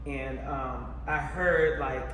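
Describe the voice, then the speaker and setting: A man's voice: medium pitch, fairly even intonation, slow pace, background noise. A man hosting an interview, reflecting on faith and asking long, rambling questions. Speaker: interviewer host. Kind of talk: casual interview chat. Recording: echoey hall from far off.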